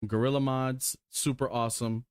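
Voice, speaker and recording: A man in his forties chatting casually and excitedly about his sim racing cars. He rambles, swears casually and fills pauses with lots of ums. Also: medium pitch, clean tone, no echo, clean studio sound. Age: 40s. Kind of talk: casual chat while showing cars.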